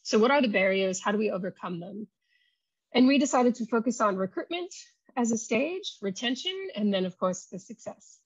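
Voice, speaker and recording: A woman academic talks through her slides on a video call, unhurried and conversational, leaning on ums and you knows as she explains. Her voice is a little breathy and husky, at an ordinary pitch for a woman. The sound is dry and slightly dull, like a laptop call. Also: lively intonation, no room sound. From not South African. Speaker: university oceanography lecturer. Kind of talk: academic talk over slides.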